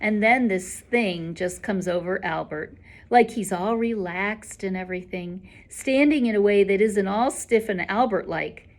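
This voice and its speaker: A woman reading a children's novel aloud in an expressive storybook narration style. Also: medium pitch, a little slow.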